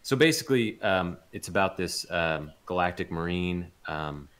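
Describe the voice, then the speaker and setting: A man's voice: low voice, animated, moderate pace, fairly bright tone. A man talking casually and informally as an interview guest. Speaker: interview guest, voice actor and audio drama producer.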